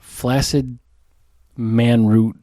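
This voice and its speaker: A man's voice: medium pitch, little pitch movement, drawn-out words, mellow, no room sound, studio quality. A man co-hosting a casual beer podcast, cracking crude jokes and talking loosely with lots of fillers. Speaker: podcast co-host. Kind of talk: casual chat over beers.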